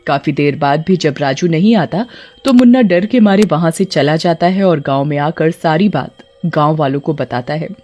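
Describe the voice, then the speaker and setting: A woman's voice: low-pitched, moderate pace, warm tone, soft background music. A woman narrating a scripted cartoon horror story.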